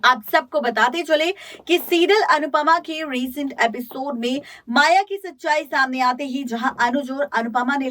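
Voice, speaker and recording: A woman's voice: sits high, a bit bright. A woman with an Indian accent presenting scripted entertainment news about TV serials in a dramatic, emphatic way. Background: background music.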